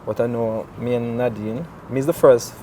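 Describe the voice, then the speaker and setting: A Jamaican man in his thirties, a talk show guest, speaking haltingly with fillers and restarts. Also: medium pitch, steady tone, warm tone. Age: 30s. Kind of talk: emotional talk-show conversation. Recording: distant mic with faint noise.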